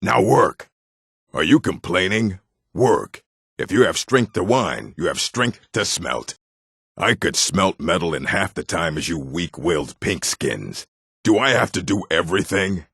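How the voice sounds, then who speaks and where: An extremely low-voiced orc from a fantasy video game. He is proud, stern and blunt, with heavy gravel in the throat. The recording is close and dry. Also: lively intonation, measured pace, fairly bright tone, dry recording, exaggerated voice. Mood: menacing, scornful. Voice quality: gravelly, husky. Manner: blunt, stern, clipped phrasing. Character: gruff proud orc warrior and blacksmith, adult male.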